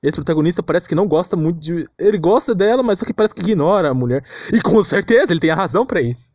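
A man's voice: high voice, animated, quick pace, a little mellow, no echo, studio quality. A man with a Brazilian Portuguese accent giving an informal, exasperated rant as an anime commentator, repeating himself and using lots of slang.

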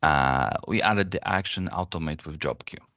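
A deep-voiced man gives an unscripted software demonstration. He explains each step in a halting manner. The sound is dry and a little lo-fi, like online webinar audio. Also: no room sound. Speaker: software presenter.

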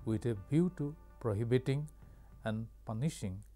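A man in his sixties with an Indian accent and a very mellow, dark voice of average pitch. He reads a formal educational lecture in measured, broken-up phrases. He is recorded on a lapel mic in a studio. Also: faint music behind. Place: studio with curtain backdrop.

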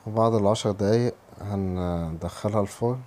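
Hoarse Egyptian man, quick and mellow. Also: lowish voice. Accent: Egyptian Arabic. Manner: casual, explaining step by step. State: hoarse from a cold. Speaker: home cooking channel host. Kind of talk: cooking tutorial voice-over. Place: home kitchen.

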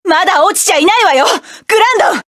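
An actress voicing a proud, combative young woman mecha pilot, delivering sharp, commanding battle lines for a game. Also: very high-pitched, animated, fast, no echo, clean studio sound. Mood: fierce, defiant.

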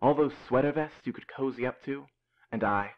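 A man's voice: mid-range voice, a little fast, lo-fi sound, lightly acted. A man playing a flighty young artist boyfriend in an audio drama, florid and dramatic in manner. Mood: effusive. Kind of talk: modern audio drama acting.

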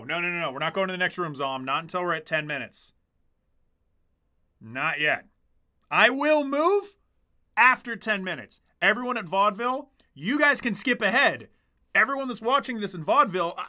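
Animated, high-pitched young man streaming games. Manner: animated, joking, swearing. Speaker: game streamer. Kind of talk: casual livestream commentary reading chat aloud. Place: small dim room indoors. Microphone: desk microphone close in front.

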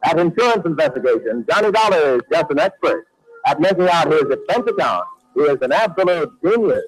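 An actor announcing a wry insurance investigator in an old-time radio drama. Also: male voice, fairly high pitch, lively intonation, fairly quick, natural voice, low-quality recording. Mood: wry, dramatic. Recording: vintage radio recording with music.

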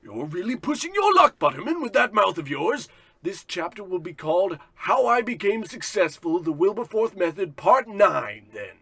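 Pompous male business magnate for a radio comedy: high, husky, wildly swooping, self-important bluster. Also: big pitch swings, normal speed, a bit bright, no room sound, heavily acted. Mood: imperious. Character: pompous boastful male industrial tycoon, bullying boss. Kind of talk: parody of old radio drama acting.

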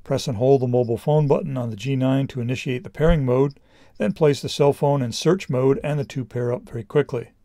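A middle-aged man reading a scripted product review in a measured, explanatory way. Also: medium pitch, dark tone, no echo.

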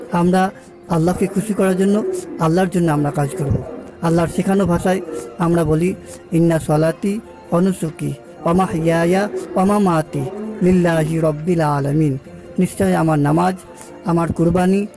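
A man's voice: on the high side, creaky. A man voicing a pious, earnest uncle who advises in a preachy way in an amateur cartoon. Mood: earnest, approving. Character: pious, earnest uncle giving gentle moral advice.

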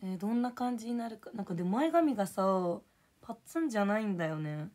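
A young woman with a hoarse voice, chatting casually on a livestream and thanking viewers for gifts. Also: mid-range voice, lively intonation, quick pace, dark tone. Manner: chatty, drifts between topics and reading out viewer names.

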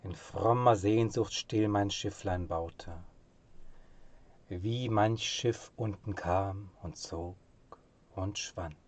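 Slow, low-voiced man reciting poetry. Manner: measured, expressive, dropping to hushed phrase endings. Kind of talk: solemn literary poetry recitation.

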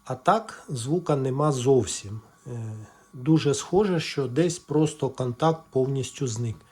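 A man with an average-pitched voice presents a casual, hands-on walkthrough. He explains things step by step in a halting way, as if thinking aloud while he works. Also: a little mellow, husky. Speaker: electronics repair video presenter.

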